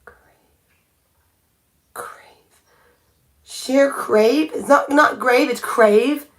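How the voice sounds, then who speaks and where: A fairly high-voiced woman talks casually to her viewers while painting her nails at home. She thinks aloud and keeps restarting and correcting herself mid-sentence. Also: extremely slow. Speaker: nail art livestreamer. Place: home by a window.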